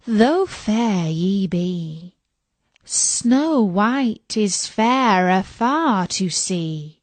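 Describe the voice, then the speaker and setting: A woman voicing a solemn magic mirror, reciting rhymes in a measured, incantatory way. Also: mid-range voice, dry recording.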